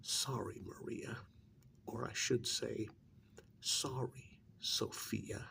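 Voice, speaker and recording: A man playing a stern, secretive government handler in an ASMR role-play, speaking softly in a pointed, reprimanding, deliberate way. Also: medium pitch, steady tone, full character voice. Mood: stern, scolding. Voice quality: breathy near-whisper with gravelly creak. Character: stern, secretive male government handler scolding an undercover agent.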